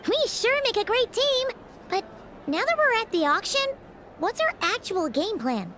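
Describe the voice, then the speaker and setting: Brief: tiny excitable game sidekick, very high and bouncy, third-person talker. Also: female voice, measured pace, heavy background noise, heavily acted. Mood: eager. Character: tiny floating girl companion, chirpy, excitable. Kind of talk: video game dialogue. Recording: game audio over loud music.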